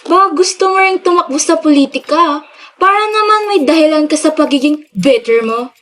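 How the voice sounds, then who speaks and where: Woman as brash young daughter, radio drama; high voice, sassy, teasing. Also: fairly quick, fairly bright tone. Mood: teasing, excited. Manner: sassy, code-switching with English.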